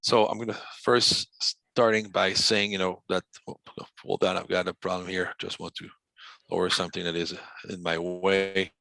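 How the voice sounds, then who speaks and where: French Canadian salesman presenting online, low voice, dry close headset sound, halting delivery. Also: little pitch movement, creaky, bright, forceful tone, no echo, rough recording. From Quebec. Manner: hesitant, many fillers and restarts. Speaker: sales executive presenting a webinar. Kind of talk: casual presentation.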